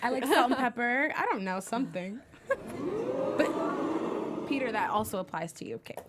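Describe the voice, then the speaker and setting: A woman's voice: fairly high pitch, very animated, normal speed, heavy background noise. A woman co-hosting a reality show recap, chatting in an easygoing, agreeable way. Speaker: co-host. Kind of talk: casual chat.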